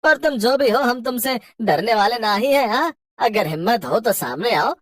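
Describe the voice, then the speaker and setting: A woman voicing an excitable, boastful village husband with a rustic Hindi accent in a horror cartoon. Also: a little high, normal speed, no room sound. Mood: cocky. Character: boastful village husband, cocky but easily terrified. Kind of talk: cartoon horror story acting.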